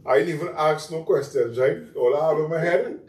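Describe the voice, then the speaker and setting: A man with a Trinidadian accent hosting a casual talk show, relaxed and loose. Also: on the high side, brisk. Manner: relaxed, loose, local slang. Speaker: host. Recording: roomy, mic at a distance.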